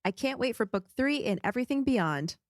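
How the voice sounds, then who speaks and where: A woman's voice: a little high, lively intonation, fairly quick, no echo, clean studio sound. A woman co-hosting a casual fan podcast who reads listener reviews aloud, a little sniffly. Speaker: podcast co-host.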